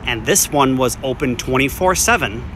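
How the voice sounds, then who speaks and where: A man's voice: medium pitch, lively intonation, moderate pace, noisy background. Chatty man filming on his phone. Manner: chatty, easygoing, thinking aloud with ums. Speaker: vlogger exploring places and reviewing food. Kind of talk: casual walkthrough commentary and food review. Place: outside an empty restaurant, then inside a diner. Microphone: phone camera at arm's length.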